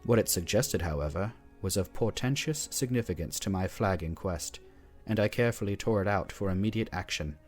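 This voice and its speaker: A man narrates a horror tale in a slow, grave and measured way. His voice sits low, with a faint musical bed behind him. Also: a little mellow, faint music behind. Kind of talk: scripted horror story reading.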